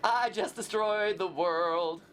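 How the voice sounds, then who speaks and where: A young man hosting a casual game livestream, goofy and excitable, breaking into shouts and playful sing-song bits. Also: sits high, takes it slowly, a little hiss or hum. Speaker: host. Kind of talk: casual let's-play chat.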